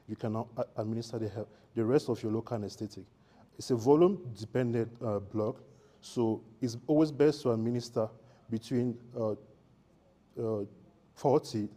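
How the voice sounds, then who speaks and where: A man, an anesthetist explaining a nerve block in a live teaching demonstration, halting and correcting his words as he goes. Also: lowish voice, mellow. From Cameroon.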